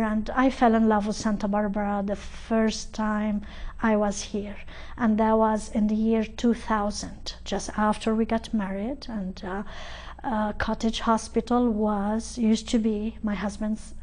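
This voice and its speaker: Woman from lebanon, middle-aged, talking slow with lots of ums on a tv studio set, lapel mic. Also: a little high, a little mellow. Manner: slow and halting, many ums. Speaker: guest. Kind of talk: TV interview.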